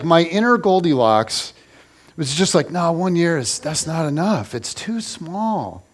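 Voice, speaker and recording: A man in his forties preaches in a church in a chatty, joking way. His voice sits fairly high for a man. He often restarts his sentences and teases the congregation as he goes. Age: 40s. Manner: conversational and joking, with many restarts. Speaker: pastor. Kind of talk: casual sermon.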